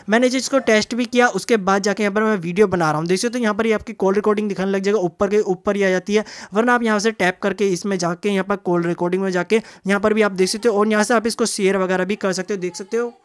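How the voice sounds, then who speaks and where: A man's voice: sits high, steady tone, quick pace, few pauses. A young man giving a casual, chatty how-to narration as a tech tutorial host, speaking informally to viewers.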